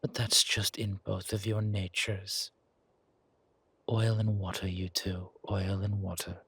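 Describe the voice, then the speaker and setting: Casting: male actor as condescending gentleman leader for audio drama, very raspy and low, measured, with veiled threat. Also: flat delivery, dry recording, exaggerated voice. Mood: calm chiding. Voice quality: husky, gravelly. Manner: measured, condescending, softly chiding. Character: older-mannered founder, genteel, patronizing, quietly menacing man. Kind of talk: audio roleplay drama acting.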